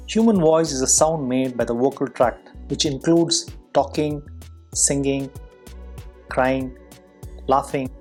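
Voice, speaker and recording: An instructor speaks in a mid-range voice over background music. The delivery is formal and measured, explaining each point step by step with careful enunciation. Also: medium pitch, breathy edge. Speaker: soft skills instructor and public speaker.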